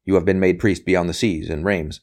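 A man's voice: sits low, steady tone, a little fast. Relentless interrogator for a storybook, acted by a man: clipped, insistent, coolly impatient official. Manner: forceful, clipped, pressing questions.

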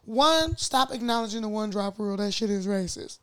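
A woman's voice: medium pitch, lively intonation, a little fast, a bit bright, clean tone. A woman of Nigerian origin raised in America, giving blunt, slangy opinions in casual podcast chat.

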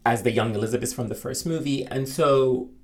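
A man with a mid-range voice talks films in casual conversation. He is animated and opinionated, breaking into laughs as he goes. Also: medium pitch, brisk. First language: Arabic. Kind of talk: casual film discussion.

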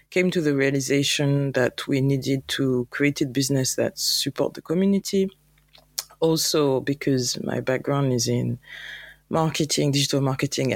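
A French-accented woman speaks in an upbeat, animated way, now and then pausing to search for a word. Her voice carries a gravelly, creaky texture. The recording is dry and close, with no room sound. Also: rumbling low, no echo. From France. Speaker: guest, travel company founder. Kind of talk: conversational interview.

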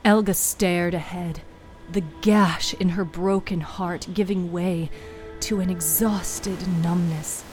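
A woman's voice: on the low side, steady tone, slow pace, a little mellow, faint music behind. A woman reading scripted material as the writer, narrator and host of a fiction podcast.

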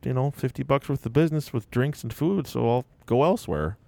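A man's voice: mid-range voice, brisk, gravelly, darkish tone, dry recording. A man in his forties hosting a casual podcast chat, joking and blunt, swearing now and then. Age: 40s. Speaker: podcast host.

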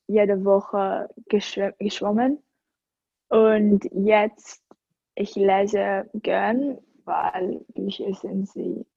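Very slow, mellow teen girl. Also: medium pitch, no echo, highs cut off. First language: Greek. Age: teens. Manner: deliberate, searching for words. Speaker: student podcaster. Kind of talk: casual chat.

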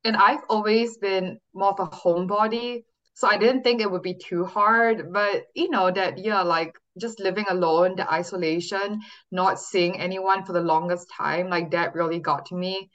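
A young woman speaks as a guest author in an interview. Her voice sits a little low, and she tells personal stories in a candid, conversational way. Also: steady tone, normal speed, a bit bright, highs cut off. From Singapore. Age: 20s.

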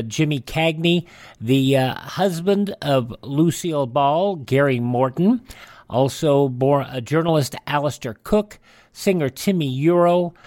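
A man's voice: medium pitch, darkish tone, no echo, clean studio sound. A man hosting a radio show, informally reading out a rundown of dates and names. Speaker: radio host. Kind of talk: informal radio rundown of on-this-day history and birthdays.

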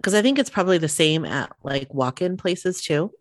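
A woman in her thirties co-hosting a podcast, telling stories in relaxed casual chat with lots of fillers, a bit sniffly from the cold. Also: on the low side, moderate pace, no room sound, studio quality. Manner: relaxed storytelling with many fillers. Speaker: podcast co-host.

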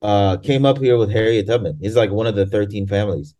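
A man from Tanzania, his voice sitting a little low, talks in a loose, animated way, laughing and cursing easily. He is heard over a video call. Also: fairly quick. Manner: animated, laughing, swearing casually. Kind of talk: casual chat.

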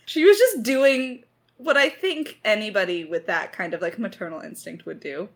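Woman writer chatting in a q&a, thinks out loud, pokes fun at herself a bit. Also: medium pitch, normal speed. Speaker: lead writer of an audio drama. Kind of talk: casual Q&A discussion.

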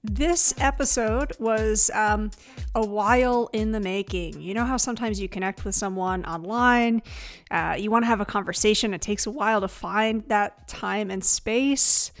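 A woman's voice: mid-range voice, moderate pace, soft background music. A woman hosts a conversational podcast with a mid-range voice. Her phrasing is earnest and reflective, as she talks directly to listeners and guest. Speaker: podcast host.

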